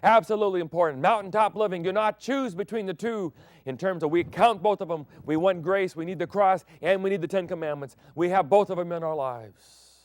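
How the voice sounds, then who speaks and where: A man preaching a broadcast sermon as pastor, swinging between loud emphatic declarations and soft hushed phrases. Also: sits high, a little fast, no room sound. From Thailand.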